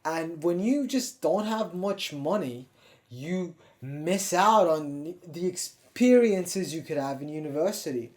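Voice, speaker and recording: A young man from Turkey who speaks English with an American-sounding accent, in a slightly higher-than-usual register. He talks in a loose confessional monologue, blunt and full of swearing, restarting his sentences as he goes. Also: animated, takes it slowly. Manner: blunt and profane, rambling with many restarts. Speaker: self-improvement YouTuber.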